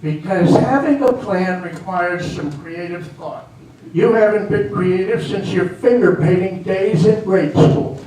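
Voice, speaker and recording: A man's voice: sits high, unhurried, warm tone. An amateur actor, a man, playing a defensive, self-important small-town politician in a stage reading, flustered and blustering. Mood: indignant, mocking. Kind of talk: amateur readers theater comedy acting. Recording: very echoey hall from far off, faint hiss.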